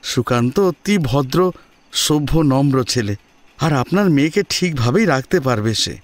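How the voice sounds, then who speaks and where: A man voicing a humble, deferential father who politely and haltingly pleads for a marriage match in an audio drama. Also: mid-range voice, expressive, pitched above the performer's own voice, natural voice. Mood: humble pleading.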